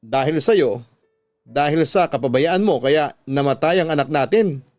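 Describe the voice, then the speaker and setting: Unfaithful husband for radio drama, acted by a man: cold, blunt, blaming, lightly high voice over music. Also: normal speed, a little mellow, no room sound, lightly acted. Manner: blunt, rejecting. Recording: music bed under the voice.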